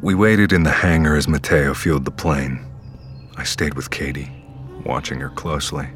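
A man's voice: a bass voice, steady tone, moderate pace, fairly bright tone. A man acting as a weary, grave survivor narrating a scripted audio drama in the first person, with music under his voice. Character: weary grave male survivor recounting a doomed escape.

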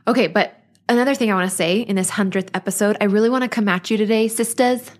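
A woman's voice: mid-range voice, fast talker. A woman in her thirties, a mother and life coach, hosting her own podcast in an earnest, bubbly, chatty way. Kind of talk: casual solo podcast monologue.

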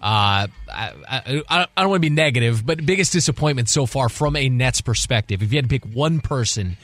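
A man co-hosts a sports radio show and puts questions to a guest. His voice is medium in pitch, and he phrases things in a wordy, roundabout way. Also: animated, brisk, a bit bright. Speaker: radio co-host.

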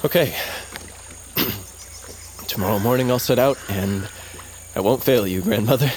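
A man's voice: medium pitch, unhurried, slight background noise, lightly acted. An actor playing an earnest, theatrical young tribesman on a quest in an audio drama. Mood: dutiful, nervous.

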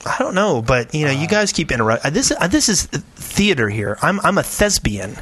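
A man's voice: fairly high pitch, big pitch swings, moderate pace, fairly bright tone, slightly rough. A man hosting a podcast, chatting casually with the group. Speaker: podcast host.

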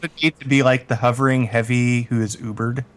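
A man's voice: mid-range voice, steady tone, brisk, a bit bright. A man talking casually as a podcast co-host. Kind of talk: casual chat.

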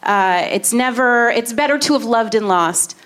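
A woman's voice: a little high, normal speed, fairly bright tone, smooth. A woman comedian performing a stand-up routine on stage, with a wry, conversational delivery.

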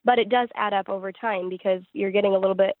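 A woman's voice: mid-range voice, fairly even intonation, quick pace, no echo. A woman chatting casually as an interview guest, speaking over a telephone call. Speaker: singer-songwriter being interviewed. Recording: narrow tinny phone line.

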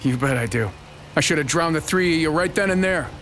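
A man's voice: fairly high pitch, fairly quick, background noise, natural voice. An actor playing a cruel, bitter husband and father in an audio drama, sarcastic and bullying.

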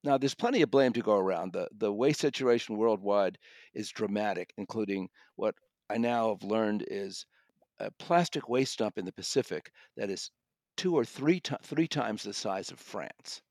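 A man's voice: fairly low pitch, normal speed, darkish tone, dry recording, clean studio sound. A man co-hosting a podcast, reviewing audiobooks in a discursive, conversational way and often rephrasing his point.

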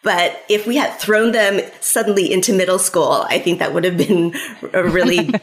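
A woman's voice: lowish voice, fairly even intonation, normal speed, low-quality recording. A woman in her forties, born in the United States with Cantonese as her first language, talking casually as an interview guest with lots of "like" and "you know.". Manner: conversational, many fillers like "like" and "you know". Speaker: guest author.

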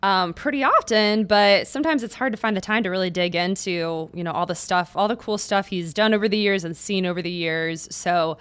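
A woman's voice: medium pitch, brisk, few pauses, a bit bright, clear, resonant tone. A young woman hosting a sports podcast, talking casually and with enthusiasm, with many fillers. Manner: friendly, filler-heavy, enthusiastic. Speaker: beat reporter, podcast host.

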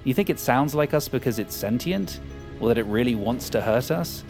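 An actor playing an arrogant, power-hungry hacker in an audio drama, with a smug, cynical and sardonic manner. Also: male voice, medium pitch, animated, normal speed, creaky, a little mellow, faint music behind. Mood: confident, explaining. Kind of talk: audio fiction acting.

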